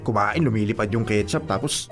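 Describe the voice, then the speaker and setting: A man's voice: on the low side, fairly quick, faint music behind, natural voice. A man with a Filipino accent playing a placating, evasive young boyfriend in a radio drama, hesitant and apologetic. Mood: pleading.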